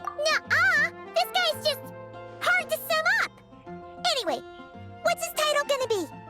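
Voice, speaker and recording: Tiny cheeky fairy sidekick, extremely high squeaky voice, wildly swooping, cutesy game delivery, music behind. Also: female voice, sing-song, unhurried, exaggerated voice. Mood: excited, playful. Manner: cutesy, exaggerated, refers to self in third person. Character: tiny excitable female fairy companion, childlike and cheeky. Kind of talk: video game voice acting.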